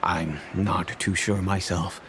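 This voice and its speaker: An actor playing a dignified middle-aged head of a wealthy family in a video game, speaking in a measured, solemn, formal way. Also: male voice, low voice, steady tone, a bit bright, a little hiss or hum. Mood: reflective. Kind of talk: scripted video game dialogue. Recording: music behind the voice.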